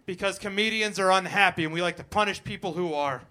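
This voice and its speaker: A man's voice: high voice, quick pace, bright, forceful tone. A man hosting a live comedy show, teasing the comics as he brings them on and off. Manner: brash, teasing introductions.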